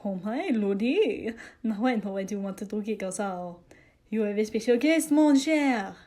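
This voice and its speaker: A woman's voice: mid-range voice, expressive, unhurried, dark tone, lightly acted. A woman voicing a flirtatious, melodramatic Frenchman in an audio story, cooing and theatrical. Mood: teasing, flirtatious. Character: flirtatious, melodramatic Frenchman, teasing and mock-wounded.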